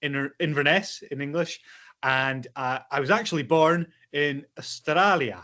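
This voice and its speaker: A man's voice: a little high, unhurried, sharp tone. A Scottish man, a presenter and filmmaker, talking easily and simply to young schoolchildren as a guest. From Scotland. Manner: easygoing, explains simply, with ums and uhs. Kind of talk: friendly guest talk to children. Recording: lo-fi video call audio.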